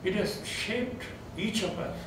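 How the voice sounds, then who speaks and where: A man's voice: sits high, takes it slowly. Older Tamil-accented man lecturing in echoey room. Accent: Tamil-accented English. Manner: reflective, chuckling, gently self-deprecating. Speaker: retiring theology professor. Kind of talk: informal farewell lecture with Q&A. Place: seminar room, indoors, medium-sized. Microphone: far camera. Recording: echoey room from far off, background noise.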